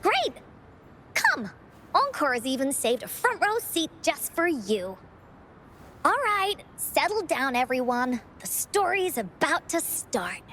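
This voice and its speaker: A woman's voice: high-pitched, very animated, slow pace, pushed and bright, slight background noise, full character voice. A woman playing a cheerful little girl who tells adventure stories theatrically in a video game. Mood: excited. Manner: theatrical, cheerful, childlike storytelling. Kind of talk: video game storybook narration.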